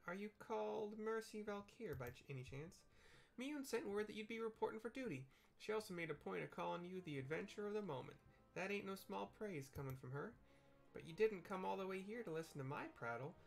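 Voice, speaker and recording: A man plays a tiny fantasy tavern proprietress from a video game, brisk and friendly. The voice is pushed high and chats in a folksy way, with music underneath. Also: gravelly. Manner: folksy, chatty, says ain't.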